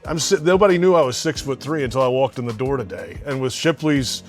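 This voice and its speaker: A man's voice: mid-range voice, fast, faint music behind. Easygoing male radio announcer. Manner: easygoing, laughs often, chatty with fillers. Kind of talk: casual interview.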